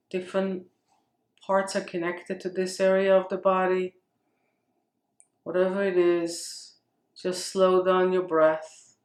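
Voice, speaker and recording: A woman leading a guided meditation with gentle, unhurried phrasing and long pauses. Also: on the low side. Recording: roomy, echoing space.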